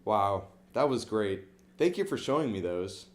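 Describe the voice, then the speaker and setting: A man's voice: lowish voice, big pitch swings, measured pace. A young man playing a reluctant gym newbie. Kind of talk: student skit acting.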